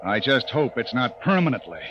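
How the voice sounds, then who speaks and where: Gruff spaceship captain played by a man, muffled old radio. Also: medium pitch, sing-song, moderate pace, close to an everyday voice. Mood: grim. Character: gruff, commanding male spaceship captain, short-tempered under pressure. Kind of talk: 1950s radio drama acting. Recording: muffled, noisy 1950s radio recording.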